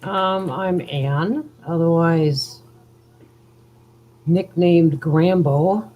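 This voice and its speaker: A woman's voice: lowish voice, extremely slow, muffled recording. A woman appearing as a podcast guest, giving a casual personal account. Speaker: podcast guest, trial witness. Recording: faint ambient noise.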